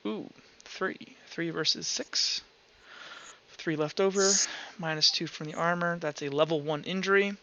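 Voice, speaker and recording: A man's voice: on the high side, steady tone, unhurried, bright, forceful tone, a little hiss or hum. A man running a tabletop roleplaying game, narrating off the cuff and casually thinking aloud. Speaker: game master. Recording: dull lo-fi voice track with music. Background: music.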